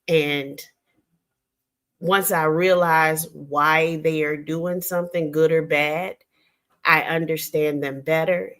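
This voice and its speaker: An older woman hosting a casual late-night livestream, talking in a slow, reflective, rambling way with many pauses. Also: low voice, steady tone, a bit bright. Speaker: livestream host.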